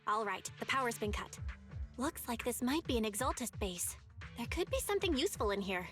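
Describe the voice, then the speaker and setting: Loud game music runs behind a high young female voice. a composed video game heroine who leads her team. She speaks decisively. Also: big pitch swings, a little fast.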